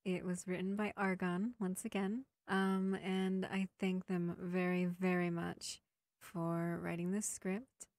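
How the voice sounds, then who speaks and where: A woman who hosts an audio channel, giving a casual outro in a quiet, low-key way. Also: flat delivery, takes it slowly, no room sound, clean studio sound. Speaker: voice actor, channel host.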